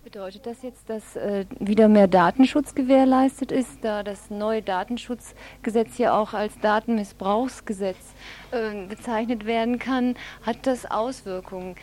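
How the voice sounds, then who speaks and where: Woman radio interviewer, soft dark tone, mid pitch, formal prepared questions. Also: steady tone, a little slow.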